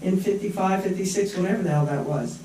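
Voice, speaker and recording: A man's voice: high voice, fast talker, dark tone, slight background noise. An older man giving a rambling, sardonic public comment at a town meeting. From born in Japan. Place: large echoey meeting room. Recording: echoey hall from far off.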